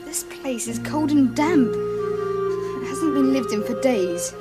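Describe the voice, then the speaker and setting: An actress playing an earnest, bossy British schoolchild on an adventure in a radio drama. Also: female voice, high voice, animated, takes it slowly, over loud music. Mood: curious, uneasy.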